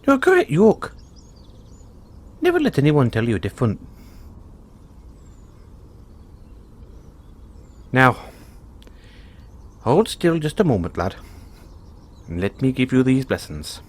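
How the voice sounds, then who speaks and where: A man's voice: medium pitch, wildly swooping pitch, unhurried, long pauses. A man voicing a kindly, playful leprechaun in an audio roleplay, folksy and reassuring. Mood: warm, encouraging. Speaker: roleplay performer. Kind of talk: audio roleplay, speaking softly to one listener.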